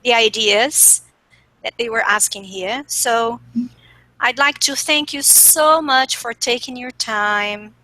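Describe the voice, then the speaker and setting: A woman leads the interview in English, friendly, often ending with tag questions. Her voice is bright and fairly high. The sound is dry and close. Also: takes it slowly, dry recording, rough recording. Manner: friendly, asks questions, ends lines with tag questions. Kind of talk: conversational interview.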